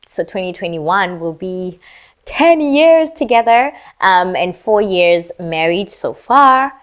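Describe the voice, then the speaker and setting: Chatty woman from Lesotho, slightly low voice. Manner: chatty and playful, girly slang asides. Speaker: vlogger. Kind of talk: casual Q&A chat to camera.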